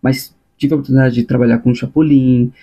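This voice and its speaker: A man's voice: medium pitch, fairly even intonation, quick pace, creaky, warm tone, slightly rough. A man with a Brazilian Portuguese accent telling stories casually in a personal vlog, informal and colloquial, thinking aloud as he goes. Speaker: animation supervisor.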